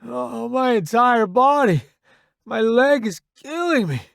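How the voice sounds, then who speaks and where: A man's voice: high-pitched, animated, measured pace, creaky, no room sound, studio quality, voice pushed up, exaggerated voice. A man voicing a wounded young soldier in an audiobook, strained and blunt, talking through pain. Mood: pained, urgent. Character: wounded young male soldier, pained, cursing, loyal joker.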